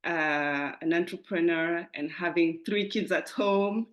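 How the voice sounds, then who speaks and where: Lower-voiced woman with a Francophone West African accent. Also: unhurried. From Guinea, West Africa. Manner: enthusiastic, often chuckles while talking. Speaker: podcast guest, entrepreneur. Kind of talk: conversational interview answers.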